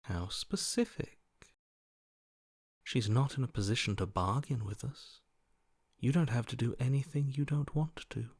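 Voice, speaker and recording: A man's voice: mid-range voice, measured pace, long pauses, husky, dry recording, studio quality. A man voicing a cold, amoral voice inside someone's head in a video game, detached, matter-of-fact and dryly sardonic. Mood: detached, philosophical. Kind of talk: video game character acting.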